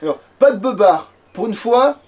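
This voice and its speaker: High voiced guy doing a lets play, just chatting, sweary slang. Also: lively intonation, moderate pace, a little mellow. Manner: informal, crude slang. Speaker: let's player. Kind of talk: casual chat while creating a game character.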